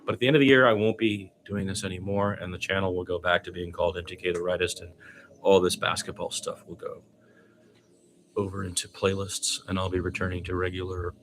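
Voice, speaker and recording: A man's voice: deep voice, little pitch movement, a little fast, a bit bright, slight background noise. A man doing informal solo basketball play-by-play commentary, conversational and given to chatty asides.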